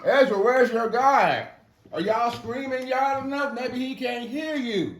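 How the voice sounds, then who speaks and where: Man putting on a high, mocking, cocky prophet. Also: moderate pace, a bit bright, echoey room, soft background music. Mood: mocking, gleeful. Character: mocking, cocky male prophet taunting his rivals.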